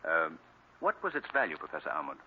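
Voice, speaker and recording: An actor playing an urbane, determined gentleman crime fighter in earnest 1940s radio acting. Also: very deep voice, wildly swooping pitch, fast, exaggerated voice. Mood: probing, polite. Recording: tinny, noisy 1940s radio recording.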